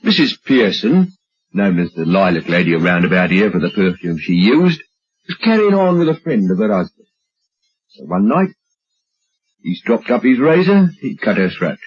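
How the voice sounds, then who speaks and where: An actor playing an inquisitive, gossipy British village policeman with a husky voice and a matter-of-fact manner, in old radio drama style. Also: fairly low pitch, very animated, moderate pace. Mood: matter-of-fact, grim. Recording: dull old radio recording.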